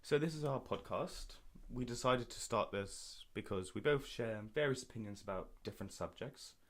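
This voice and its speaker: A man's voice: mid-range voice, normal speed, fairly bright tone, soft background music. A young man from Berlin co-hosting a casual podcast, chatting informally to listeners. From Berlin, Germany. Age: young adult. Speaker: podcast co-host.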